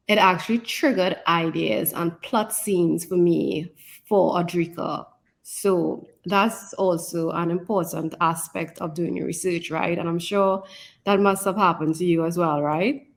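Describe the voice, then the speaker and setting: A woman talking conversationally as an author and co-host, in a reflective way and often adding "you know". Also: on the low side. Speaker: fantasy author and podcast co-host.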